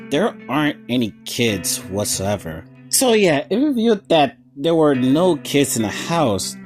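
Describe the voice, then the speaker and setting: A man hosting a video essay, giving casual, slightly stumbling analysis of a horror series. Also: mid-range voice. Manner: informal, stumbling over wording. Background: faint plucked guitar music.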